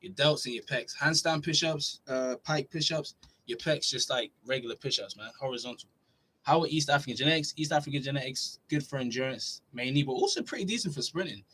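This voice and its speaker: A man's voice: mid-range voice, a little fast, husky. Young man casually answering live chat. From Norway. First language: Norwegian. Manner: relaxed, chatty, reads questions aloud then answers. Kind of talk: casual livestream Q&A.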